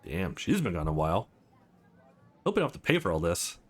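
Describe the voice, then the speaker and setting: Guy playing a cocky dude on a dinner date in a visual novel, dry and bantering, lively pitch. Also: brisk, breathy edge, exaggerated voice.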